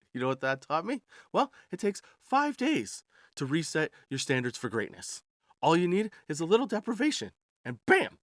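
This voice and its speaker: A man's voice: medium pitch, a bit bright, no echo. Casual man in his forties, dry close recording. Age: 40s. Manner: chuckles often at his own jokes; slangy, ends remarks with "dude" and "right". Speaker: podcast host. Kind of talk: casual comedic storytelling monologue.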